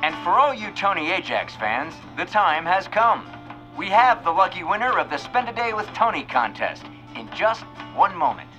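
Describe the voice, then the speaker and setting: A man playing a showy radio host and emcee with a booming showman delivery in an audio drama. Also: sing-song, moderate pace, sharp tone, highs cut off, faint music behind, exaggerated voice. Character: showy male radio announcer.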